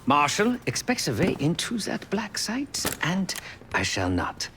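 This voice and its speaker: An actor playing a fussy, courteous, sly tech expert in a video game, speaking formally and theatrically with precise diction. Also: male voice, sits high, wildly swooping pitch, unhurried, noisy background, full character voice. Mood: measured, businesslike. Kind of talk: video game cutscene acting.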